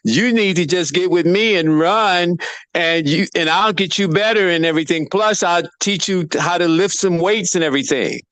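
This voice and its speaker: A man's voice: high voice, normal speed, fairly bright tone, clear, resonant tone, no echo. A man in his seventies with a slightly raised voice, explaining his method earnestly and at length on a video call. The audio is dry and close. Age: 70s. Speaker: breathing practitioner. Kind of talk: casual chat.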